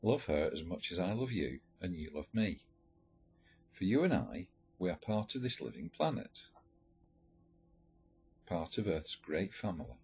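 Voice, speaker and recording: A man reading a letter story aloud in a measured, expressive storytelling manner. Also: low voice, slightly rough. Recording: dull lo-fi recording with music behind. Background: background music.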